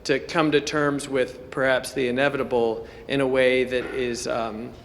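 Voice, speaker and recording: A man's voice: medium pitch, little pitch movement, a bit bright, a little hiss or hum. A man giving an informal conference talk as a college teacher, conversational, often breaking off to rephrase himself. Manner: conversational and self-interrupting, with halting rephrasing. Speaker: college teacher presenting at a symposium. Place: indoor lecture hall at a symposium. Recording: reverberant hall sound.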